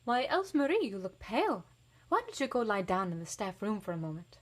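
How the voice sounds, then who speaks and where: A woman voicing a kindly, encouraging female schoolteacher in a storybook, lilting and gently coaxing. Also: medium pitch, big pitch swings, brisk. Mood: concerned.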